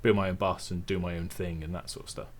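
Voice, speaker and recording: A young man from the English Midlands talks in a low, deep voice, with his regional accent clear in every phrase. He is laid-back and chatty, poking gentle fun at himself as he answers questions in a relaxed interview. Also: fast. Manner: relaxed, chatty, self-deprecating. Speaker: tech YouTuber guest. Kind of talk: casual interview chat.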